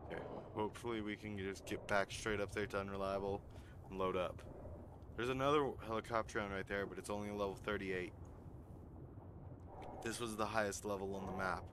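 A man giving casual gameplay commentary while losing his voice, excitable and swearing when something startles him. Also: low voice, background noise. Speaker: gaming YouTuber.

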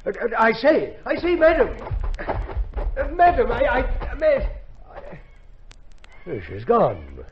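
A man's voice: very high-pitched, wildly swooping pitch, slow, pauses often, heavily acted. An actor playing a blustering, fatherly army colonel in a 1950s radio drama, with a stammering manner. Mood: flustered. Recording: muffled, noisy 1950s radio recording.

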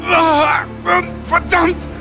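A man voices a rough lumberjack pinned under a fallen log, his gravelly voice pitched very high. He talks slowly and hard through the strain. Music plays behind him. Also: level tone, full character voice. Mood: pained. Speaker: lumberjack Buck.

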